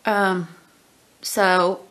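A woman's voice: fairly low pitch, level tone, drawn-out words, a bit bright, poor audio. Chatty sniffly woman reviewing a book. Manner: chatty, rambling, frequent ums and throat-clearing. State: sniffly from allergies. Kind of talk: casual spoken book review. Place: small room at home, indoors. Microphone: webcam at desk distance.

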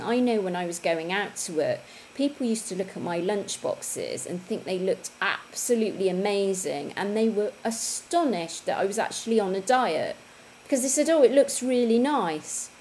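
A woman's voice: mid-range voice, animated, moderate pace, a little mellow, a little hiss or hum, poor audio. A woman, hosting a casual fitness livestream from home and chatting to viewers. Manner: chatty, conversational, with tag questions. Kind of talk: casual livestream chat reading viewer comments. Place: home room, indoors.

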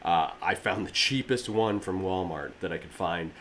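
A man in his thirties with a low, slightly raspy voice talks to camera about a DIY project. He rambles in a friendly way, full of fillers and restarts. He is recorded on the camera mic in his garage gym with a faint hum of ambience. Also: moderate pace, fairly bright tone. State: allergies. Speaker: fitness DIY channel host. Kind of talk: casual how-to talk to camera. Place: home garage gym, indoors.

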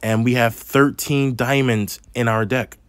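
A man's voice: medium pitch, fairly even intonation, a little slow, no room sound. A man with a slight lisp teaching a math lesson over a tablet screen, explaining patiently step by step. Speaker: math instructor.

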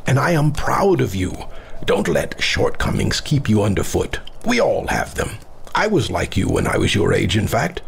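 An actor playing an aging warrior father in a fantasy audio drama, measured and formal, grave but loving. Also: lowish voice, sing-song, gravelly, a little hiss or hum, exaggerated voice. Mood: gentle.

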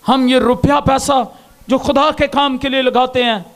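A middle-aged man with a Pakistani accent, preaching a sermon into a handheld mic on a stage, shouting at the peaks. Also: extremely high pitch, flat delivery, moderate pace. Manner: impassioned preaching, shouting at peaks, raising arms. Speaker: pastor. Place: large indoor hall with stage and pulpit. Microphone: handheld microphone.

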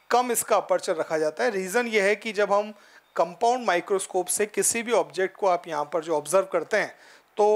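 A man in his forties, a physics teacher giving a classroom lecture with a headset mic in a studio. He talks in an explanatory way, often tells students to look carefully, and mixes in English terms. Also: high voice. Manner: gestures and points at the board while talking. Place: studio in front of a projected board.

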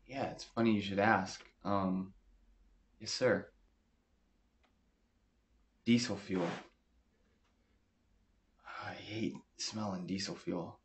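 Casting: traumatized young American soldier back from war, low-pitched, slow, halting and deferential, in a training film. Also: male voice. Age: young adult. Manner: halting, subdued. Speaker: actor as patient. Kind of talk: acted therapy interview. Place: small furnished room, indoors.

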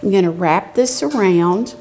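A middle-aged woman talks through a hands-on kitchen demonstration. Her voice sits at an average pitch, and she explains each step in a chatty, homespun way. She is recorded indoors on a lapel mic with some background noise. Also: lively intonation, measured pace, a little mellow. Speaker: cooking show host, extension educator. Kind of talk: casual craft and cooking demonstration. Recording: clear background noise with clicks.

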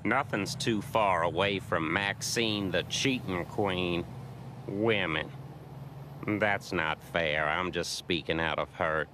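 A hurt, slangy roadside driver for a cartoon. The voice is on the low side and slow, folksy and rueful, with clear vehicle noise around it. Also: male voice. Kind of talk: animated comedy acting. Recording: vehicle and road noise behind.

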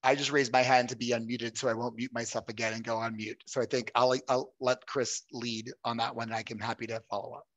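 A man's voice: mid-range voice, level tone, fairly quick, few pauses, a bit bright, no echo, dull audio. A man on a headset in an office on a video call, talking casually and self-deprecatingly.

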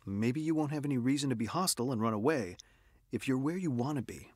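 A man playing a calm, probing psychiatric doctor in a radio drama, measured and dryly questioning. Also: medium pitch, dry recording, clean studio sound, lightly acted. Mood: calm, matter-of-fact.

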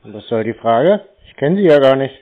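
A man speaking deadpan and tersely in a prank phone conversation. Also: medium pitch, brisk, faint music behind. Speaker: prank callee baiting phone scammers. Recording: dull lo-fi phone call recording.

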